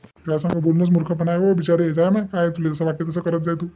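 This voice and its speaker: A man's voice: on the high side, level tone, fast, noisy background, lightly acted. A man voicing a grumbling, exasperated village husband in an amateur cartoon comedy, matter-of-fact, with a Varhadi Marathi accent.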